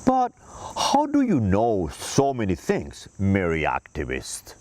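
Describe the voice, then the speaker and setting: A man's voice: lowish voice, huge pitch swings, takes it slowly, background noise, exaggerated voice. A man voicing a polite, formal senator while reading a children's book aloud. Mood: delighted.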